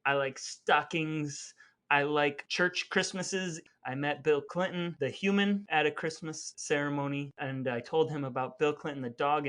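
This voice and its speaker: A man's voice: on the high side, fairly bright tone. A man co-hosting a podcast with a playful, mock-earnest delivery that mixes chat and scripted patter. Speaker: podcast co-host. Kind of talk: chatty scripted podcast narration.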